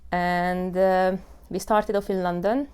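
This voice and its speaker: This is a woman in her thirties telling her own story in English, in an earnest, unhurried way, with plenty of "you know" and "uh". Her voice sits fairly low and sounds smooth and clear. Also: steady tone, a little mellow. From Hungary. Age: 30s. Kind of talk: personal testimony.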